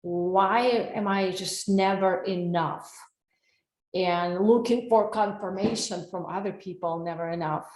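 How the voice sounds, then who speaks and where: A woman's voice: fairly low pitch, steady tone, measured pace, darkish tone, reverberant, low-quality recording. A woman from Russia with a Russian accent, giving an earnest, personal wellness webinar over a video call and asking her audience questions. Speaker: wellness coach. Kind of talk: live webinar presentation. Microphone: computer mic on a video call.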